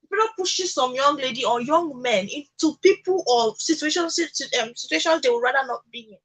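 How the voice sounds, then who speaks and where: A young woman with a Nigerian accent in an animated radio discussion, speaking emphatically with repetition and rhetorical questions. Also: high voice, fairly quick, poor audio. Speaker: radio talk show speaker.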